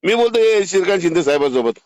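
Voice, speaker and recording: A man's voice: sits high, animated, fast talker, darkish tone, dry recording. A man with a Marathi accent from Maharashtra, blunt, foul-mouthed and insistent in a phone conversation. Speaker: caller making political complaints.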